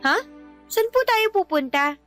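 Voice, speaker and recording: Sweet high-voiced Filipina teenage daughter. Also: female voice, expressive, unhurried, fairly bright tone, faint music behind. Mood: glad, affectionate. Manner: respectful, earnest, sweet. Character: loving, dutiful nineteen-year-old daughter, a student. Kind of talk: Filipino radio drama acting.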